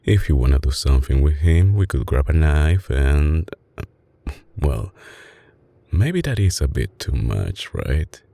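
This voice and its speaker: A man playing a tender, possessive mafia boss with an Italian accent in an intimate, soft-spoken ASMR roleplay, his voice deep, breathy and husky. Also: sing-song, dry recording, heavily acted. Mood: calm, conspiratorial. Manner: slow, hushed, coaxing, with dramatic pauses. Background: music.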